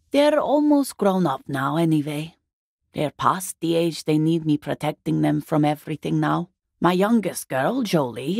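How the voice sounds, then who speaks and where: A middle-aged working class wife in a video game, weary and guarded. Her voice is husky and rather low, with pitch that swings widely as she gets defensive. The recording is dry and close. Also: darkish tone, no room sound, full character voice. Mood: exasperated. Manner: defensive, exasperated, plain-spoken. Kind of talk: video game character dialogue.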